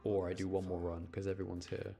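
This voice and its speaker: Low-pitched British man streaming over music. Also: monotone, brisk, vocal fry, mellow. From United Kingdom. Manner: rambling, repeats words and phrases. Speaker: game streamer. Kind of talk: casual livestream chat and game commentary. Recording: music playing behind the voice. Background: game music.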